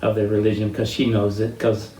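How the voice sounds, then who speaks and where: An elderly man with an American accent reminisces about his life in a halting, reflective way, often searching for words. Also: lowish voice, little pitch movement, mellow. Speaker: interviewee sharing life stories. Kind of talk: reminiscing personal storytelling. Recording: roomy, distant mic with faint noise.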